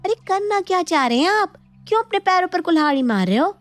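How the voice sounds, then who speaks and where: A woman voicing an anxious, exasperated wife who scolds with urgent questions in an animated moral story. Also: very high-pitched, fast talker, faint music behind, pitched above the performer's own voice.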